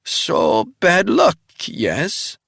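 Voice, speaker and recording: Man doing shrill taunting Russian woman. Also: huge pitch swings, very slow, vocal fry, a bit bright, breathy edge, no room sound, clean studio sound, exaggerated voice. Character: vicious mocking female Russian captive, wounded.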